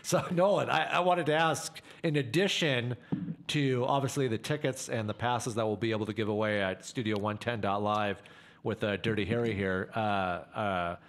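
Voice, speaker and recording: Rambling radio host, many fillers. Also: male voice, on the low side, fairly even intonation, moderate pace. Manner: hesitant, repeats words, many fillers. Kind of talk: casual on-air interview.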